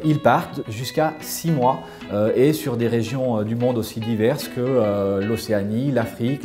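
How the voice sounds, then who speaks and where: A man explaining a school's programs in a measured way, with frequent hesitations. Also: mid-range voice, dark tone. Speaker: school representative. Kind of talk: promotional interview.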